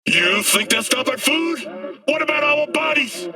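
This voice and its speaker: A man's voice: extremely high pitch, animated, pushed and bright, recorded from a distance, full character voice. A man playing a fiery, paranoid anti-corporate street prophet in a video game, haranguing people in a declamatory protest rant. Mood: alarmed, accusing. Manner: declamatory, haranguing, drawn-out emphasis. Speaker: street agitator, conspiracy preacher. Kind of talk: ranting protest speech, video game acting.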